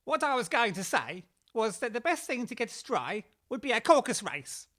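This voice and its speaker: A solemn, self-important dodo declaims in a forceful, bright voice. The pitch is high and swings widely, in a storybook audiobook style. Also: female voice, sing-song, moderate pace. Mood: pompous, solemn. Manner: pompous, declamatory. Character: solemn, pompous dodo bird leading a race. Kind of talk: full-cast audiobook character acting.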